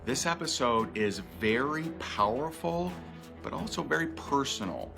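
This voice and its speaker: A man hosting a business show speaks straight to camera in an earnest, personal, motivational way. His voice sits at an ordinary male pitch. A music bed plays clearly beneath him. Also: animated, measured pace. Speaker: show host and business coach.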